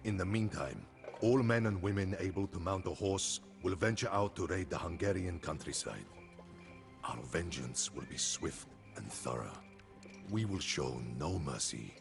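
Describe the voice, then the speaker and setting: A man's voice: low voice, animated, vocal fry, full character voice. A man playing a grave, vengeful khan who solemnly narrates the story of his people in a video game. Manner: solemn, ominous, deliberate. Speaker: cutscene narrator. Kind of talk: dramatic scripted storytelling narration. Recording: music behind.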